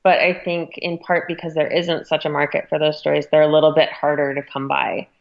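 A woman's voice: low voice, level tone, fairly quick, creaky, dull audio. A woman speaking as a magazine editor in a conversational interview, explaining things thoughtfully.

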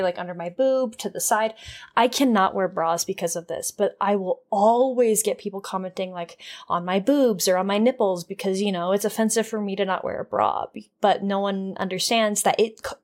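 A young woman chatting on a podcast in a loose, rambling way, restarting her thoughts and dropping swear words without pause. Her pitch sits in the middle of the range and her delivery is unpolished. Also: darkish tone. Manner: rambling, candid, swears freely, trails off and restarts. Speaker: podcast co-host. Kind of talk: casual podcast chat.

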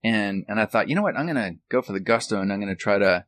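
Low-voiced man, dry close sound. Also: lively intonation, fast talker, a bit bright, no echo. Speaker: podcast guest and author. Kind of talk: casual reminiscing chat.

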